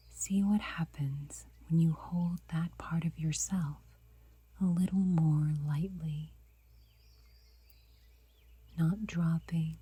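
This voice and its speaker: A woman guiding a meditation in a soft, breathy whisper, unhurried and gentle, leaving long pauses. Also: sits low, dry recording. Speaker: meditation guide.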